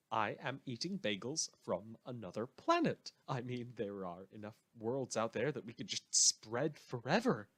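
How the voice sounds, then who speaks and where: A man acting as an eccentric community radio news host with a fairly low voice. He is self-important and cheerfully morbid, delivering scripted comedy news with theatrical flourish and a deadpan, rambling manner. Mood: amused, theatrical.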